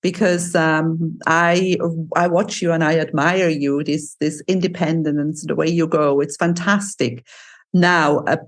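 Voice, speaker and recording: Female podcast host from Switzerland, somewhat low voice, conversational interviewing style. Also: steady tone, measured pace.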